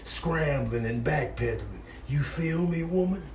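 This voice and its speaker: A man's voice: on the high side, unhurried. An American man doing improvised comedic animal voice-overs with theatrical, slangy swagger. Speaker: comedy voice-over performer. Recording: noisy compilation clip audio.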